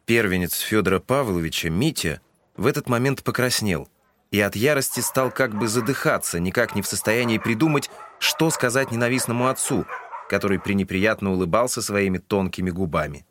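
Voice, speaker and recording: A man's voice: lowish voice, animated, a little fast, a bit bright, slight background noise. A man giving scripted literary narration in a Russian audio drama.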